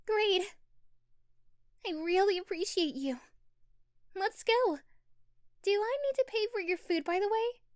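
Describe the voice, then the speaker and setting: A woman's voice: very high voice, expressive, a little slow, long pauses, no echo, clean studio sound, voice pushed up. A woman voicing a sweet, shy, flustered schoolgirl with a crush, hesitant and giggly in an ASMR roleplay. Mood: sweet, friendly.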